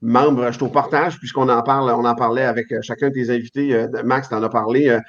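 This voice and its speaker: A man with a Québécois accent, a comedian guest chatting in a relaxed, self-deprecating way over a remote call. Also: mid-range voice, fairly quick. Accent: Québécois French. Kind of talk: casual chat. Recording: dull lo-fi call audio.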